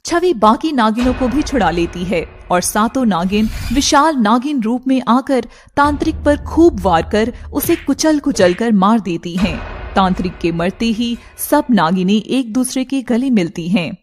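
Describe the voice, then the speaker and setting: A woman's voice: on the high side, lively intonation, measured pace, a little hiss or hum. A woman storyteller delivering scripted, dramatic narration for a cartoon tale.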